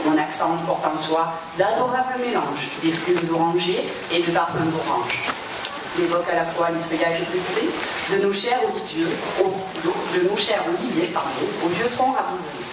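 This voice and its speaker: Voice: medium pitch, expressive, moderate pace. A speaker with a southern French accent from Provence reciting poetry in an emphatic, declamatory, theatrical way. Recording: very echoey noisy hall, distant mic.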